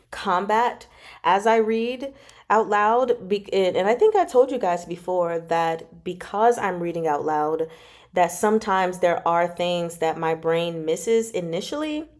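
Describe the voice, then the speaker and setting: A woman with a slightly low voice hosts a relaxed livestream chat. She talks informally with viewers, drifting into giggly asides as she answers their comments. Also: unhurried. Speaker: livestream host reading chapters aloud and chatting with viewers.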